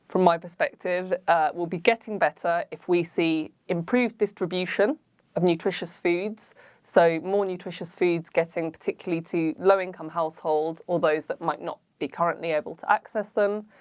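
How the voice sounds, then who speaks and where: Low-voiced woman, clear and structured. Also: moderate pace, darkish tone, no echo. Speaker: conference expert. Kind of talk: interview remarks.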